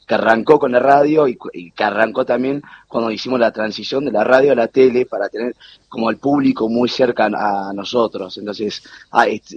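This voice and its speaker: A man's voice: lowish voice, breathy edge. A man in his thirties with an Argentine accent, chatting casually about his work and family, laughing often and using local slang. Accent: Argentine (Rioplatense). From born in New York to Argentine parents. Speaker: TV producer, guest. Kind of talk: casual interview over a call. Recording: dull lo-fi call audio.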